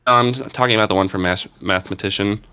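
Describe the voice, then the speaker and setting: A man's voice: on the low side, racing, pushed and bright, smooth, no echo. A man chatting casually as a podcast panelist, easygoing and prone to chuckling. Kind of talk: casual chat.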